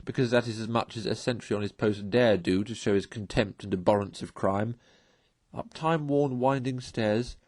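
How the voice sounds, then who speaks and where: A man reading a classic story aloud as expressive, scripted narration. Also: fairly low pitch, moderate pace, dry recording.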